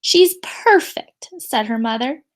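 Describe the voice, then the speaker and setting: A woman's voice: on the high side, lively intonation, takes it slowly. A woman voicing a doting, reassuring storybook mother who gushes with emphatic praise. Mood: tender.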